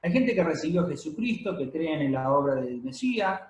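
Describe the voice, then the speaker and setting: A man preaching a sermon to a congregation, explaining at a measured pace with many pauses and fillers. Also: fairly high pitch, animated. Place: church hall, congregation present and streamed. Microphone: handheld or stand microphone. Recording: echoey room.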